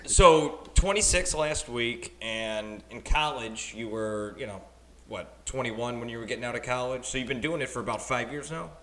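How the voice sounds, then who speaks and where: A man's voice: mid-range voice, moderate pace, a bit bright. A man in his thirties hosting a podcast, chatty and encouraging in a casual interview. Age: 30s. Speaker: podcast host.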